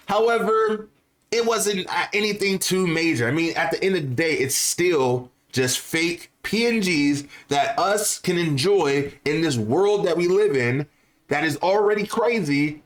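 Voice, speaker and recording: Guy youtuber doing casual game commentary, kinda high voice, slangy, repeats stuff to make his point. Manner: informal, slangy, repeats phrases for emphasis. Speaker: gaming YouTuber. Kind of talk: casual opinionated commentary to viewers.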